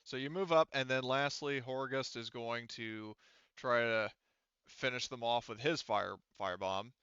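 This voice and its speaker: A man acts as game master for an online tabletop game. His voice is bright and smooth, and he talks casually, swearing and muttering as he works through rules. The recording is dry and a little muffled. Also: medium pitch, measured pace, no room sound. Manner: casual, profane, mutters to himself.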